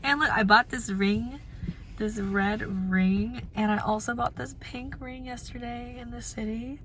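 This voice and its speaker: A young woman from New Jersey vlogging casually, her voice husky and hoarse from recently losing it, chatty and full of fillers. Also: fairly even intonation, a little slow. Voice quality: husky, lowered voice. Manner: chatty, bubbly, filler-heavy with lots of "like". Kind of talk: casual travel vlog. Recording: loud traffic and street noise.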